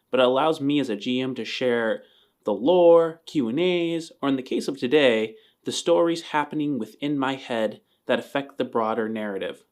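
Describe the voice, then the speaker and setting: A man speaking as a game master and podcast host, addressing the listener directly. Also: medium pitch. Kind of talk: podcast announcement, then slow scripted scene narration. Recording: music under the voice.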